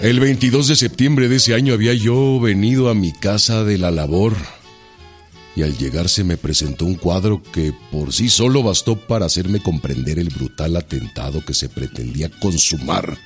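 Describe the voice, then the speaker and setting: An actor playing a male revolutionary recounting his outlaw youth in radio drama, solemn and deliberate. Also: low-pitched, soft background music, close to an everyday voice. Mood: grave, recounting. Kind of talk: dramatised first-person radio narration.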